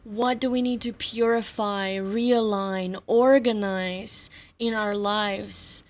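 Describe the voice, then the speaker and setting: A woman whose first language is Slovenian talks at a slow, unhurried pace. She has an even, mid-range voice and explains her reflective spiritual ideas gently, with soft laughs between her thoughts. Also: medium pitch. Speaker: astrology teacher.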